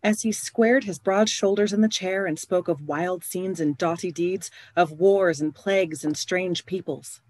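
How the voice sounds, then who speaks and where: A narrator giving scripted storytelling in a measured delivery for a radio play. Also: mid-range voice, darkish tone, dry recording, close to an everyday voice.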